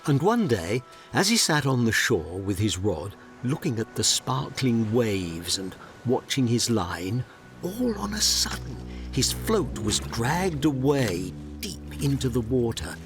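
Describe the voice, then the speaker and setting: A man narrating a fairy tale audio drama in a measured, dramatic storybook style. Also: mid-range voice, sing-song, over music.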